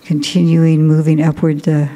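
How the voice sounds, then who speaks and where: A woman, a Buddhist nun, guiding a meditation in a slow, gentle, soft-spoken way with long pauses. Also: low-pitched, monotone. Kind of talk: guided meditation. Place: large cathedral. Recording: long cathedral reverb.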